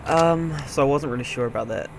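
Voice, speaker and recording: A young man chatting casually over gameplay in an unhurried, hesitant, low-key way. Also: gravelly. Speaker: let's play host. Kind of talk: casual gameplay commentary, rambling personal chat. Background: video game music.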